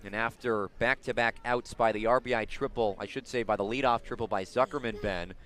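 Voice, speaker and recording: A man calling live baseball play-by-play for radio, describing the action in detail and building excitement on big plays. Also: mid-range voice, brisk. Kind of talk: live radio sports commentary.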